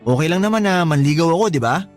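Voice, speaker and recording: A man plays a smooth-talking young suitor with a fairly high voice, charming on the surface but pushy and demanding. Faint music plays behind him in this radio drama. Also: expressive, very fast, natural voice. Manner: charming at first, then demanding. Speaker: suitor Dennis.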